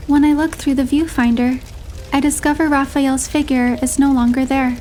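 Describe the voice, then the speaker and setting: A woman narrating a romantic first-person story in a measured, expressive storytelling manner. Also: high-pitched, soft background music. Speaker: narrator and heroine voice.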